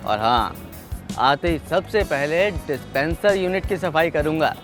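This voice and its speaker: A man's voice: fairly high pitch, animated, unhurried, soft background music. An actor playing a sheepish, careless male petrol pump attendant in a training film, talking casually and apologetically, as if making excuses. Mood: apologetic, eager. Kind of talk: training film dialogue acting.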